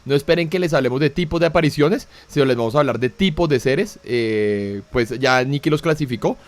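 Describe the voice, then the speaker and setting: A man's voice: medium pitch, animated, fairly quick. A man from Colombia, co-hosting a talk show, chatty and casual with filler tags. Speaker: co-host. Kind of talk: casual panel chat.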